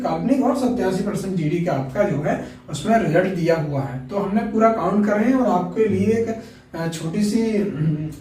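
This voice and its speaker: A man's voice: high-pitched, darkish tone. A man explains things informally as a coaching presenter, repeating himself and often checking in with listeners. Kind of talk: informal explanatory lesson for viewers. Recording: very echoey room, distant mic, faint noise.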